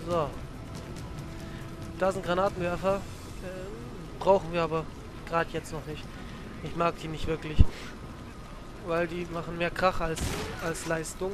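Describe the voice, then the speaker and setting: Young male let's-play host from Germany, slightly high voice, rambling and crude, loud game music under him. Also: little pitch movement, measured pace, pauses often. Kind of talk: casual gaming commentary. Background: game music and sounds.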